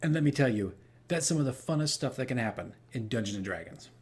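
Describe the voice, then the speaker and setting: A man's voice: medium pitch, lively intonation, quick pace. A man hosting a casual vlog as a game master, telling stories in a rambling, self-deprecating way and repeating phrases for emphasis. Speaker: host, dungeon master. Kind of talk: casual campaign diary vlog, storytelling.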